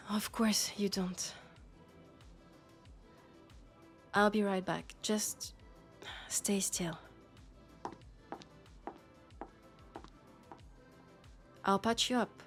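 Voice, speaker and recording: A woman with a French accent voicing a sharp, scolding but caring young policewoman in an intimate sci-fi roleplay audio drama. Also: mid-range voice, close to an everyday voice. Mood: wary, concerned.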